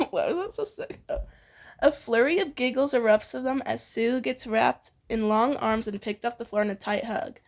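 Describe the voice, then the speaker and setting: A woman's voice: fairly high pitch, normal speed. A young woman reading fan fiction aloud casually, giggly and often breaking off into banter. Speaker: amateur fan fiction reader and co-host.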